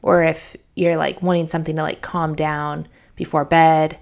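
A woman's voice: deep voice, little pitch movement, normal speed, creaky. A woman hosting a podcast, reading a promo in a chatty, informal way. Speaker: podcast host. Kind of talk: casual host-read promo.

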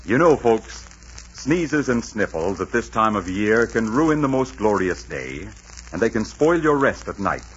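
A man's voice: on the low side, sing-song, unhurried, slight background noise. A man announcing in a theatrical, polished, scripted 1940s radio style. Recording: dull 1940s radio recording, music.

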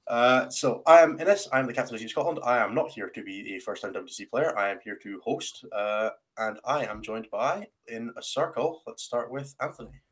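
A man's voice: medium pitch, moderate pace, hardly any pauses, a bit bright. A man casually hosting a round table, with a wry, teasing, self-deprecating way of talking. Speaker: podcast host and team captain.

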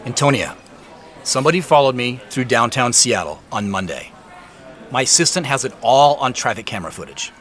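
An actor playing an earnest, suspicious man in an audio drama, pressing for answers and quick to defend himself. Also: medium pitch, sing-song, a bit bright. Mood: defensive, earnest. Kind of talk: naturalistic audio drama dialogue.

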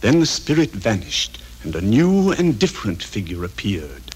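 Low-voiced man narrating an old radio drama. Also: big pitch swings, moderate pace, darkish tone, slight background noise, rough recording. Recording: vintage radio broadcast, music behind.

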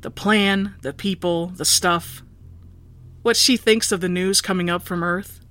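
A woman's voice: on the low side, steady tone, unhurried, a bit bright, no room sound. An actress in an audio drama playing a blunt, plain-spoken, tough woman who drills tunnels for a rebellion. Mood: determined.

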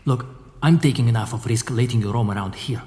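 An actor playing an anxious, earnest prison employee with a wary manner in an audio drama. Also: male voice, medium pitch, brisk, warm tone, natural voice. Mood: indignant, anxious. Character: anxious, guarded male prison employee secretly plotting escape. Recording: long reverberant hall.

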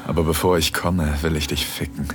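An actor playing an assured, tender husband who gently takes charge in an intimate erotic audio drama, coaxing and commanding. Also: male voice, very deep voice, steady tone, brisk. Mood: aroused, commanding.

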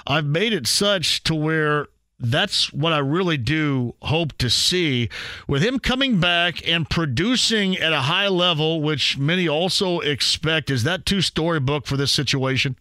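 A man's voice: fairly high pitch, expressive, unhurried, sharp tone, no room sound. A male sports radio host making his case earnestly and with emphasis.

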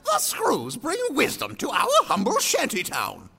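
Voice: mid-range voice, wildly swooping pitch, a little slow, vocal fry, bright, forceful tone, husky, full character voice. An actor playing a grandiose, suspicious rebel leader of a ragtag band in an audio drama, speechifying theatrically. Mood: rousing, grandiose.

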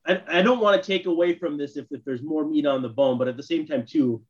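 Higher-pitched man on a dull, muffled mic. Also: fast talker. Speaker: podcast co-host. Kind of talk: casual sports chat. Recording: dull, muffled lo-fi microphone sound.

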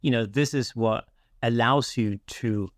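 A man's voice: mid-range voice, a little slow, no echo, clean studio sound. A man hosting a podcast, chatting conversationally with lots of "you know" as he goes. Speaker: podcast host. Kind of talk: casual interview chat.

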